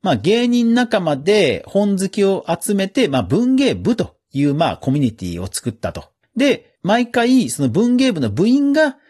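A man's voice: high voice, sing-song, a little slow, few pauses, darkish tone, husky. A man hosting a podcast, giving chatty, casual commentary on the news in a conversational way. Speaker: podcast host.

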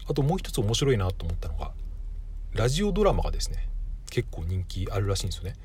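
A man's voice: fairly low pitch, wildly swooping pitch, quick pace, darkish tone, slight background noise. A man hosting a podcast solo, talking casually and thinking aloud with frequent self-corrections. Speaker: podcast host.

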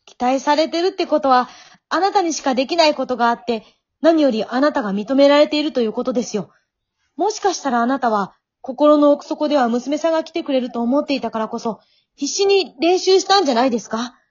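An earnest young aspiring singer acted by a woman in an amateur radio play. Her voice is high, and she exhorts and encourages emphatically. The sound is slightly muffled. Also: fairly quick, natural voice. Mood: encouraging. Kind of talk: amateur radio drama acting.

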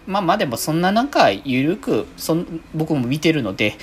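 Woman w/ pretty low voice chatting solo on her podcast, rambly, pitch moves around a lot. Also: sing-song, brisk, slight background noise. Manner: rambling, self-correcting. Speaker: podcast host. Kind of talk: casual solo free talk.

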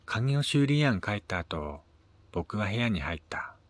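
A man narrating a first-person short story in a measured, dramatic reading with pauses. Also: on the low side, big pitch swings, no echo. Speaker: amateur reader of his own short stories.

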